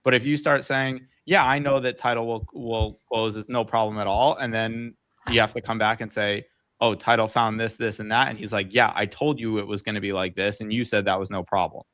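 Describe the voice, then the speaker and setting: A man's voice: on the low side, steady tone, a little fast, a bit bright. A man co-coaching on a video call, giving direct, practical advice and checking in with listeners.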